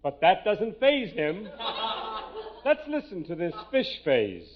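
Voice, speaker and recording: A man's voice: high-pitched, huge pitch swings, slow, very noisy. A man announcing and narrating a 1940s radio comedy sketch in a mock-dramatic storytelling manner. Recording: muffled 1940s radio recording.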